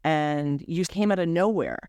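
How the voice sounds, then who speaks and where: A woman's voice: low-pitched, unhurried, darkish tone, dry recording. A woman hosting a podcast, chatting enthusiastically with her guest. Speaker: podcast host. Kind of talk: casual interview chat.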